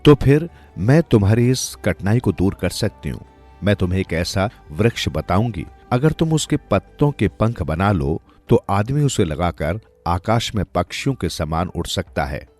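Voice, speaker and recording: A man's voice: low-pitched, big pitch swings, normal speed, darkish tone, faint music behind. A man voicing a weary, poor old village woman with lost magic in a storybook tale. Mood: helpful, bargaining. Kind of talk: storybook character dialogue.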